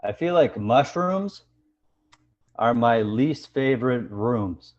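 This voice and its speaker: A man doing a stand-up set of deadpan one-liners over a video call, with hesitant fillers between jokes. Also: mid-range voice, slow pace, muffled recording. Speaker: stand-up comedian.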